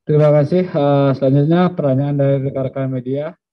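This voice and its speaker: A man moderating a press conference, briskly reading out reporters' names and their questions. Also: fairly high pitch, steady tone. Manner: brisk, announcing names and outlets. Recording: dry, dull lo-fi stream audio.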